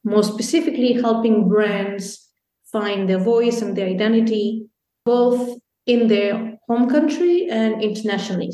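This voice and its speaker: A woman's voice: mid-range voice, a little mellow. A woman from Belgium, a guest on a podcast, telling her story in a chatty way with many ums. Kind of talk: casual interview talk. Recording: roomy echo.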